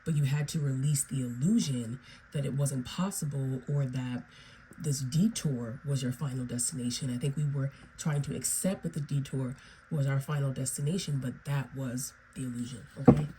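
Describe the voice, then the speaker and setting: A woman talks her viewers through a tarot spread in a low, mellow voice that is a little raspy from feeling unwell. She wanders through her points and keeps checking in with "okay?" and "right?". A faint hiss of background noise sits under her voice. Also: steady tone, moderate pace, poor audio. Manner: meandering, repeats "okay?" and "right?". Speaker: tarot reader. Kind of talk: solo tarot reading to viewers.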